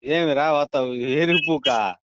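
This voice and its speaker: A man's voice: fairly high pitch, slow pace, background noise. A man barking curt, abusive orders in a heated phone confrontation. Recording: muffled lo-fi recorded phone call.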